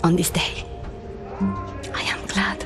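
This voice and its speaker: An actress playing an eccentric, fatalistic mathematician fighter in a video game, precise, breathy and detached. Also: female voice, takes it slowly, close to an everyday voice. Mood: resigned, wistful. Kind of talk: cinematic video game acting. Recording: music over the voice.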